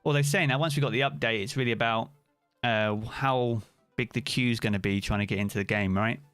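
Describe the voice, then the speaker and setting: British man, live-stream chat, relaxed and talkative, dry close mic, faint music underneath. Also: medium pitch, brisk, clear, resonant tone, dry recording. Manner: chatty, relaxed, answers chat by name. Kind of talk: casual live-stream chat reading viewer comments.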